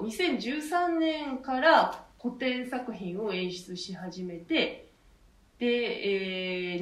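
A woman speaking as a theater director and co-host, casually introducing her troupe. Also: mid-range voice. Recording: echoey room, distant mic.

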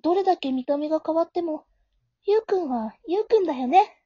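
A woman playing a flustered, caring high school girl who narrates her own story in an amateur audio drama, in a soft, girlish, expressive way. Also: brisk, no room sound. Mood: caring, reassuring. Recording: dull, lo-fi home recording.

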